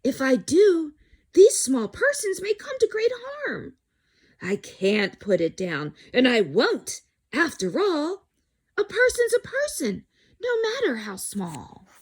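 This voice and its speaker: A woman voicing a big, gentle, protective male elephant in an earnest, emphatic way for a children's storybook. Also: high-pitched, very animated, takes it slowly, pitched above the performer's own voice, exaggerated voice. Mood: alarmed, determined.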